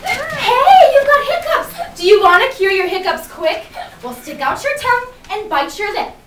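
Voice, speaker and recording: Young woman, very high and animated, theatrical kids' poetry reader, distant noisy recording. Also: normal speed. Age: young adult. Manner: animated, exaggerated showmanship. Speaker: student readers theater performer. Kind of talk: theatrical poetry recitation for children. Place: echoey indoor room, far from mic. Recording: distant mic, echoey room, background noise.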